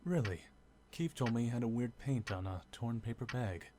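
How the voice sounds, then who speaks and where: An actor playing a businesslike investigator in a game, with a casual, probing, plainspoken manner. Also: male voice, mid-range voice, lively intonation, moderate pace, close to an everyday voice. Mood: inquisitive, businesslike. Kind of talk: game drama dialogue. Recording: clear ticking background noise.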